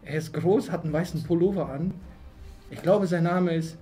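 A young man with a high voice plays a suspect in a playful, improvised game. Music plays clearly behind him. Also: moderate pace, warm tone. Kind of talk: playful improvised role-play. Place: indoor studio room at a table.

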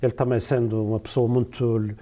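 A man's voice: mid-range voice, flat delivery, brisk, dark tone. A man in his sixties from the Azores with a European Portuguese accent, talking as a guest in a TV studio, hesitant and full of fillers and restarts. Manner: hesitant, many fillers and restarts, laughs easily. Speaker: musician guest. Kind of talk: casual studio interview. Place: TV studio set, seated in a leather chair.

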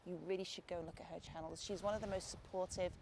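A woman in her forties from Lancashire with a softened Lancashire accent, chatting casually to camera on a beach and thanking her viewers. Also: fairly low pitch, steady tone, fast, creaky. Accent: Lancashire English, softened. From Lancashire, England. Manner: chatty, warm thanks, calls viewers guys and mate. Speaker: art channel host. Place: outdoors on a beach at sunset. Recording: noisy outdoor camera audio.

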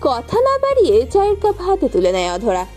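A woman's voice: high-pitched, wildly swooping pitch, fast, dark tone, faint music behind. A woman reading a scripted romantic story as its narrator.

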